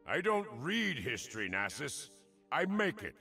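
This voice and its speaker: A male actor playing a cocky, wisecracking old veteran warrior in a fantasy video game, gravelly and wry as he taunts. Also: on the high side, sing-song, unhurried, sharp tone, exaggerated voice. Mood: confident, defiant. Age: older. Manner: wry, taunting, pun-loving delivery. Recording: music behind the voice.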